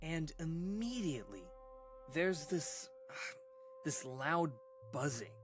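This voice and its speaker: An actor playing a shaken man who recounts an eerie memory in a halting, casual, earnest way, like a caller in a radio drama. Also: a little high, sing-song, music in the background. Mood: tense, suspenseful. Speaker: caller telling a paranormal story on a radio show. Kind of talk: conversational scary storytelling, audio drama monologue.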